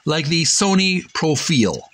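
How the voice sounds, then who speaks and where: A man hosting a video game channel, speaking casually or reading aloud in a halting, deliberate, phrase-by-phrase way. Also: on the high side, very animated, a bit bright. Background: background music.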